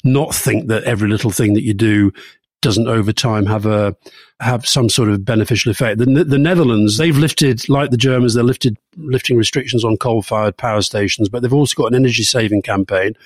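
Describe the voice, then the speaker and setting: A man's voice: medium pitch, brisk, dry recording, clean studio sound. A man co-hosting a political podcast, talking casually and often repeating words as he starts a sentence. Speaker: podcast co-host.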